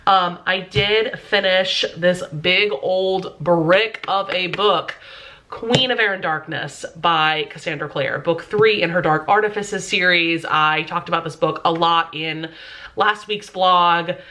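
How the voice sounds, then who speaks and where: Bright-voiced congested young woman. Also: fairly low pitch, slow. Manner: chatty, many fillers like "um" and "like". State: congested, stuffy nose. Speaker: vlogger. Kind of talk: casual chat to camera.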